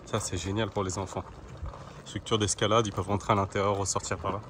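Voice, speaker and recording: A man's voice: lowish voice, little pitch movement, fast talker. Chatty man vlogging outdoors on a scooter. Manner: chatty, addresses viewers directly, fillers and asides. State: strained from exertion. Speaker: vlogger, coach and nutritionist. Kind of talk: casual vlog commentary while riding a kick scooter. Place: outdoors in a city, parks and streets. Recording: noisy outdoor street sound. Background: outdoor city ambience.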